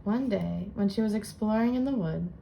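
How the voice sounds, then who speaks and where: A woman reading a children's storybook aloud in an expressive storytelling style, as host. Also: medium pitch, normal speed, creaky, slight background noise, poor audio. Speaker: children's book reader and channel host.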